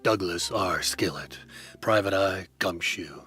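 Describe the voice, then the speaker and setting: A man narrating a hardboiled detective story in a dry, deadpan, wry manner. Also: low-pitched, slow, soft background music.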